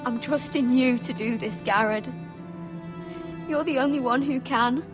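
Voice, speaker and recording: A woman's voice: high-pitched, measured pace. An actress playing a worried young woman pleading on behalf of a suffering friend. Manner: anxious, pleading. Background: music.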